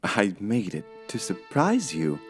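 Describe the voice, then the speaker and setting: An eager-to-please, literal-minded housemaid acted out by a man speaks with innocent earnestness. The lines are slow and stretched, with very animated melody. It is a storybook character with soft music behind. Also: lowish voice. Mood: proudly helpful.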